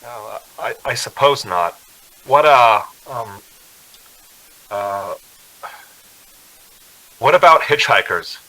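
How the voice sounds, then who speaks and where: A man's voice: mid-range voice, expressive, takes it slowly, long pauses, sharp tone, rough recording. An actor playing an uneasy young man on a road trip in a suspense radio drama. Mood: nervous, hesitant. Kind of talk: radio drama acting, first-person suspense narration.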